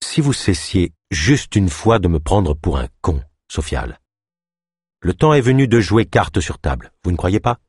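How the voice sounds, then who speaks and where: A contemptuous mayor from an audiobook, acted by a man, scheming and triumphant. The voice is husky and cutting, with menace in every line, recorded dry and close. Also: sits low, sing-song, measured pace, a little mellow, dry recording, heavily acted. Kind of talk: audiobook character acting.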